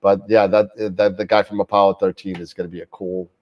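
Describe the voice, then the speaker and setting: A man's voice: sits low, level tone, a little fast, recorded from a distance, highs cut off. A man hosting a live astronomy show, talking casually with many fillers and restarts while getting over a cold. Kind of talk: casual live-stream chat.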